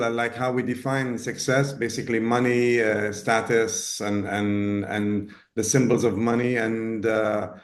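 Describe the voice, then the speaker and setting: A man from Ghana in his fifties, talking as an interview guest, pausing often with fillers and restarts. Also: mid-range voice. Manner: hesitant, many fillers and restarts. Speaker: guest, business owner. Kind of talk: casual interview talk.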